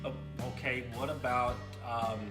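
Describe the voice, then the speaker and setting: A man speaking casually and a little haltingly as a sidekick on a children's puppet show, making up stories. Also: mid-range voice, flat delivery. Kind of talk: casual improvised storytelling for kids. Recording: roomy echo, faint music behind.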